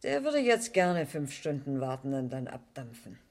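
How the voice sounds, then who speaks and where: An actress playing a talkative, brisk small-town station attendant in a colloquial 1970s German radio drama. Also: female voice, very deep voice, very animated, a little mellow, dry recording, full character voice. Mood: chatty, gossipy. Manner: chatty, folksy, blunt.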